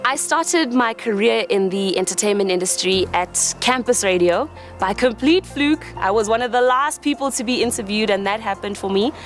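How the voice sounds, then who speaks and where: A woman's voice: mid-range voice, lively intonation, moderate pace, faint music behind. A woman in her twenties from Johannesburg introducing her entertainment career. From Johannesburg, South Africa. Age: 20s.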